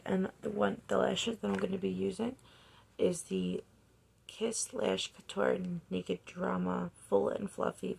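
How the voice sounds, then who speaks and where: A young woman talks through her makeup in a slightly raspy, gravelly voice, dropping almost to a whisper as she works. Her tone is husky and breathy, close to the phone camera in her bedroom. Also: mid-range voice. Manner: soft-spoken, often near a whisper while working. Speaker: makeup vlogger. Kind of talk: casual makeup tutorial talk. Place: bedroom, indoors.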